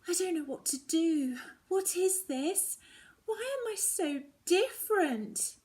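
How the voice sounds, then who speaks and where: A woman's voice: very high voice, expressive, takes it slowly, a little mellow, voice pushed up, exaggerated voice. A woman voicing a distressed, defiant young girl with emotional, wailing outbursts in a children's story reading. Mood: distraught. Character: distressed young girl frightened of her changing self, defiant. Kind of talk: dramatic children's novel reading.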